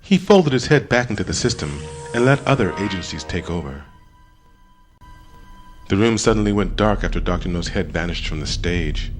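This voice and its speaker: A man narrating an audiobook in a dramatic scripted style, expressive, with deliberate pauses. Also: deep voice.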